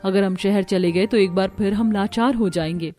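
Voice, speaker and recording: A woman's voice: medium pitch, moderate pace, dark tone, natural voice. Earnest, respectful young daughter-in-law by a woman. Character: kind, sensible young village daughter-in-law.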